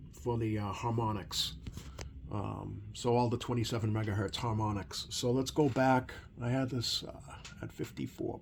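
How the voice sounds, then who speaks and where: A man giving a casual hands-on demonstration as a repair technician, talking in a hesitant, rambling way with many ums and pauses. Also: lowish voice, gravelly. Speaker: CB radio repair technician hosting a video. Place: repair workbench indoors. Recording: noisy room tone behind voice.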